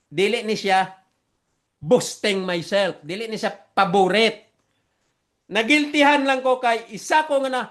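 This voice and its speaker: A Filipino man whose first language is Visayan, preaching and teaching theology online in simple, halting English with earnest repetition. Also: high voice, a bit bright. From Philippines.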